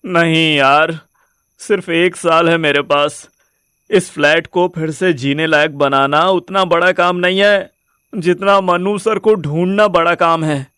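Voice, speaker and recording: A man's voice: a little high, fairly even intonation, measured pace, fairly bright tone, clear, resonant tone, no echo, clean studio sound. A man playing a determined, anxious searcher in an audio drama. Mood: tense, determined.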